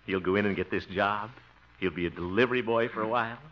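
An actor playing a blustery, hopeful older cousin in a 1950s radio sitcom, exclaiming theatrically. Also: male voice, lowish voice, quick pace, close to an everyday voice. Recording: muffled, hissy 1950s radio recording.

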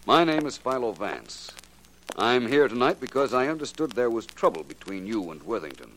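A man acting a brisk, courteous private detective in a 1940s radio crime drama, with an American accent and an authoritative manner. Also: medium pitch, big pitch swings, fairly bright tone, slight background noise, low-quality recording. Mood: composed, probing. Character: brisk, commanding male private detective taking charge. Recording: old 1940s radio recording.

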